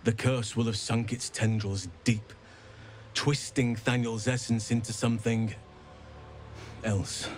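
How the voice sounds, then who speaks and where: A man's voice: mid-range voice, level tone, slight background noise, lightly acted. Man playing a solemn, devoted druid elf. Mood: grave explaining. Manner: grave, measured, formal diction. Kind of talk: dramatic game cutscene acting.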